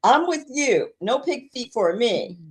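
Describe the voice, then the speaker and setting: A woman's voice: a little high, measured pace, noisy background. Caribbean-born woman on a podcast, laughs a lot, says things twice, voice jumps all over. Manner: laughing, animated, repeats herself. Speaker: podcast co-host. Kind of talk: casual chat.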